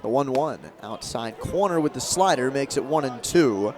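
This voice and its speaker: A man doing live radio play-by-play of a baseball game as the announcer, in measured calls with emphasis on key moments. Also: medium pitch, lively intonation, a little mellow. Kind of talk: live radio sports commentary. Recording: crowd and ambient stadium noise behind. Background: ballpark crowd murmur.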